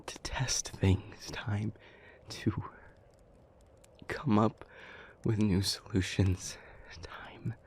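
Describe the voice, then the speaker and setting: A man playing a weary, gentle demon in an intimate audio roleplay, speaking breathily and earnestly with halting pauses. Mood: pained, pleading. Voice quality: breathy, whispery, low. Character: weary, gentle male demon, homesick and protective.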